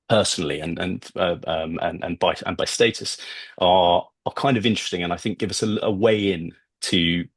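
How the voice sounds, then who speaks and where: A British man giving an academic talk, speaking in a halting, discursive way with asides. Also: low voice, breathy edge, no room sound. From Britain. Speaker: guest lecturer, researcher of ancient history. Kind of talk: academic talk with slides, semi-scripted.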